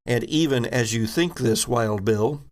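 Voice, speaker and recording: A man narrates a tabletop game as its game master. His voice sits at a medium pitch with noticeable vocal fry. He takes his time, describing things deliberately and improvising as he goes. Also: darkish tone.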